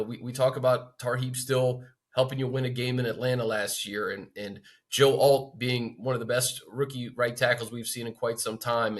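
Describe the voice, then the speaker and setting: An American man with a medium-pitched voice co-hosts a sports talk show. He speaks conversationally and earnestly, repeating words as he works through his analysis. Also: fairly bright tone.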